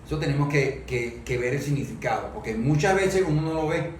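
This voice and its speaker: A Venezuelan man in a political discussion, speaking haltingly and often repeating words as he works toward his point. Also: on the high side, animated. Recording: very echoey room, distant mic, faint noise.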